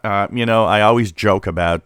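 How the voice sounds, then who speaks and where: A man's voice: fairly low pitch, brisk, a bit bright, clean tone, no echo, studio quality. A middle-aged man from Canada giving a casual, unscripted explainer as a radio hobbyist, in a hesitant, conversational way. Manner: hesitant, conversational, repeats points plainly. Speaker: amateur radio hobbyist presenter.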